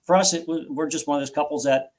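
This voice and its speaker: A man's voice: fairly high pitch, steady tone, fast talker, a little mellow. Forties guy, author guest, earnest and thoughtful, lots of restarts. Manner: earnest, reflective, frequent restarts. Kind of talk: interview storytelling.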